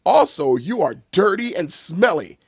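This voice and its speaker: Guy playing a big mean farm bull for a kids story, bossy n taunting, gravelly, dry sound. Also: big pitch swings, unhurried, no echo, exaggerated voice. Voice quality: deep. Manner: swaggering, loud, taunting. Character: big young boastful bullying farm bull.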